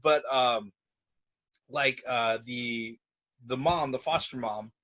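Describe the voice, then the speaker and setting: A man's voice: mid-range voice, takes it slowly, sharp tone, dry recording. A middle-aged man reviewing a movie in casual chat, rambling and searching for his words. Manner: rambling, full of ums and restarts.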